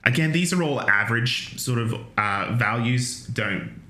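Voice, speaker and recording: A man lectures on anatomy with a bright, forward tone. He talks casually, trailing into fillers and restarts, and drops in joking asides. The sound carries the echo of a large room. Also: medium pitch, lively intonation, a little slow. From Australia. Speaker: university lecturer.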